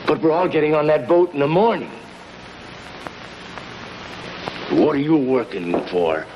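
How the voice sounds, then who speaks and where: A man plays a deferential gangland henchman in a mid-century crime drama. He talks in streetwise slang with an animated, swinging pitch. The old broadcast sound is muffled and clearly noisy. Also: a little fast, lightly acted. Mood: wary.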